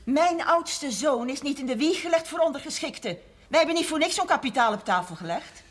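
A woman's voice: high voice, animated, brisk. An actress playing a proud, forthright middle-aged farmer's wife and mother in a period television drama. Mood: pointed, proud. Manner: forthright, insistent. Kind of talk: 1970s Dutch television period drama acting.

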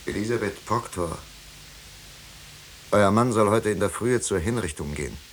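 An actor playing a tormented, guilt-ridden pastor who pleads fervently, in formal 1950s German radio-drama style. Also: male voice, lowish voice, expressive, moderate pace, poor audio. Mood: grave, remorseful. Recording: hissy 1950s radio recording.

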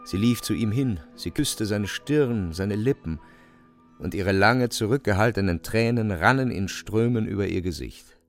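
Male narrator for literary radio drama: low register, creaky edge, animated delivery, dry studio sound. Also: normal speed, faint music behind.

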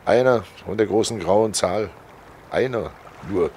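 An actor playing Death as a fat, cynical undertaker with a sardonic, matter-of-fact manner, in a 1940s German radio play. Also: male voice, on the low side, unhurried, darkish tone, slight background noise, natural voice. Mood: grimly dismissive. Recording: old 1940s radio recording.

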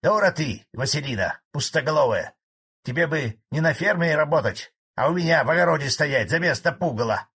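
A man voicing a spiteful old male collective farmer who rants gruffly and defiantly. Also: on the high side, animated, measured pace, fairly bright tone, voice pushed up, heavily acted. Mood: angry.

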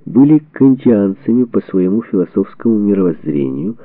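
A man narrating a historical book from a script, with measured, deliberate phrasing and pauses. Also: medium pitch, expressive, gravelly, no room sound. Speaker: audiobook narrator. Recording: band-limited, tinny phone-like sound.

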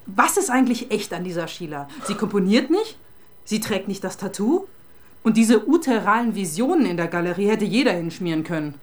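A woman's voice: medium pitch, very animated, brisk, slight background noise, lightly acted. An actress playing a sardonic, persistent police detective in a radio crime drama, dry and pointed in her questioning. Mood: wry, brooding.

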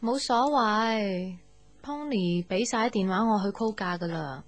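An actress as a young woman in a radio drama, with a mid-pitched voice. She is sensitive and a little insecure, speaking over clearly audible music. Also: unhurried, lo-fi sound, lightly acted. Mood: polite. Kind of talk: Cantonese radio drama acting with inner monologue.

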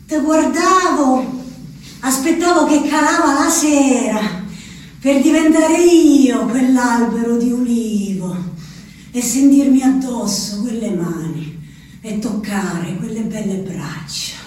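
An actress performing a slow theatrical monologue as a woman confined in an asylum writing to her husband, with a lilt. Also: a little high. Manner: slow, intimate, shifting between hushed and sing-song. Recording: echoey, distant radio theatre recording.

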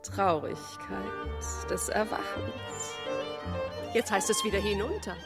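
Sad dramatic poem reading for a radio play, slow and swooping, loud music. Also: female voice, medium pitch, huge pitch swings, full character voice. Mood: melancholy. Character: melancholy female reciter of poetic lament. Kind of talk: poetic radio play recitation. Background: music with violin.

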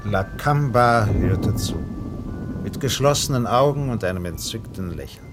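A man narrating a radio drama in a measured, literary storytelling style. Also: fairly low pitch, animated, over music.

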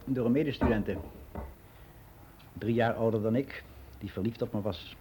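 Male actor as older man giving frank, matter-of-fact radio play monologue; soft dark tone, slightly noisy recording. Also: fairly low pitch, moderate pace, lightly acted. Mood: matter-of-fact, reminiscing. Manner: matter-of-fact, rambling, candid.

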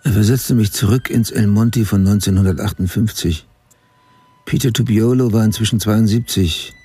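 A man's voice: fairly low pitch, moderate pace, dark tone, slightly rough. An actor in a radio play playing an obsessed crime writer who narrates his investigation in a terse, restrained way. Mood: brooding, matter-of-fact.

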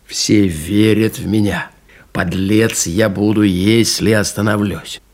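An actor playing an exhausted, stubborn pilot recalling his survival in a radio play, speaking in a husky, gravelly voice with halting, weighted pauses. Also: lowish voice, steady tone, darkish tone. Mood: fierce resolve. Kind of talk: dramatic radio play monologue.

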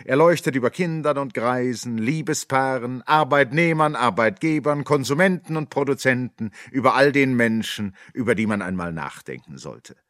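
An actor as the narrator of a radio drama, a wry, gently ironic observer with a measured delivery. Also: male voice, mid-range voice, expressive, dry recording, studio quality, close to an everyday voice. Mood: wry, reflective.

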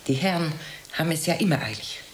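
A woman's voice: sits low, animated, quick pace. A woman playing an opinionated, lonely older landlady in a radio drama, speaking in a matter-of-fact, sententious way. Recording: faint hiss.

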